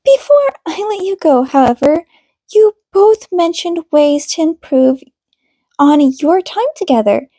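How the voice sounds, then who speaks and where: A woman voicing a composed, professional female couples therapist in an audiobook, speaking in a formal, deliberate, probing way. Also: extremely high pitch, lively intonation, mellow, no room sound, pitched above the performer's own voice, low-quality recording. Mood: instructive. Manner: formal, deliberate, clinical phrasing. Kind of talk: audiobook character dialogue.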